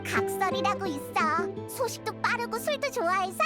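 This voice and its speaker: A woman's voice: extremely high pitch, lively intonation, normal speed, over loud music. A woman voicing a small, chatty floating fairy companion in an anime game, childlike and boastful. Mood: chirpy. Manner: childlike, boastful, refers to self in third person. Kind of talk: Korean anime game voice acting.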